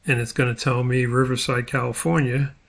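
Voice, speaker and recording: A man with a gravelly, husky voice narrating an unscripted spreadsheet tutorial as an instructor, thinking aloud in a halting, step-by-step way. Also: mid-range voice, flat delivery.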